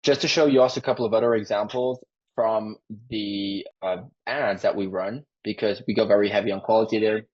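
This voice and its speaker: Man, sales closer. Low, husky voice, informal pitch style, muffled call recording. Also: steady tone, fairly quick, a little mellow. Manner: informal, peppered with "like". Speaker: sales closer for a marketing agency. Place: online sales call with screen sharing. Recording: dull lo-fi call audio.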